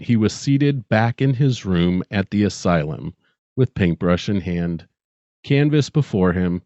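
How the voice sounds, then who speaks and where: A man's voice: on the low side, dark tone, no room sound. A middle-aged man speaking as a podcast co-host and storyteller, close to the microphone on slightly dull audio. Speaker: podcast co-host and amateur short story writer. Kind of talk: dramatic story reading and casual podcast chat.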